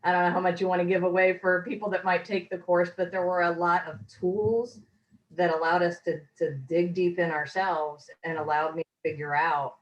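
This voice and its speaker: A woman being interviewed over a video call, talking earnestly and reflectively in a rambling way, her voice a little hoarse. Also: lowish voice, little pitch movement, normal speed, lo-fi sound. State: slightly hoarse. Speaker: course graduate, interviewee. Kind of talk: casual testimonial interview.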